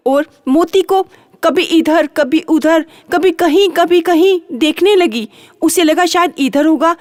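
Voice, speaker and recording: Slow, high-voiced woman storyteller. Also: no echo, studio quality. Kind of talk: children's bedtime story narration.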